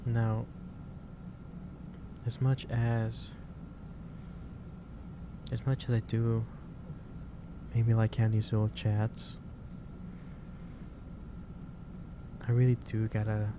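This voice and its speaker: A man playing a gentle, caring office boss in a soft-spoken ASMR roleplay, talking in a halting, reassuring way. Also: lowish voice, completely flat delivery. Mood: sheepish, caring. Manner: halting, reassuring, unhurried delivery. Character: gentle, caring male office boss lecturing an overworked employee. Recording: clearly noisy background ambience.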